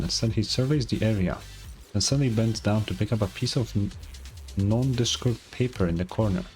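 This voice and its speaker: A man's voice: lowish voice, darkish tone. A man playing a video game, reading story narration aloud and adding murmured asides, with game music behind him. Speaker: game streamer. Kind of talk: gameplay commentary with read-aloud story narration.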